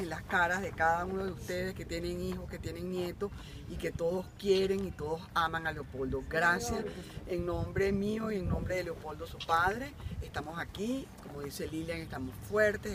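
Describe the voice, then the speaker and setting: An older woman, speaking as a mother, gives a heartfelt outdoor speech to a crowd of supporters. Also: fairly low pitch. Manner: heartfelt, addressing parents in the crowd. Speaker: mother of a jailed politician speaking at a rally.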